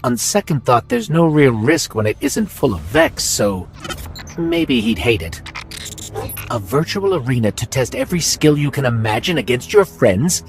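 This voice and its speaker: A man's voice: mid-range voice, wildly swooping pitch, moderate pace, creaky, faint music behind. An actor playing an earnest, curious male robot companion in a video game, thoughtful and polite. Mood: musing wonder.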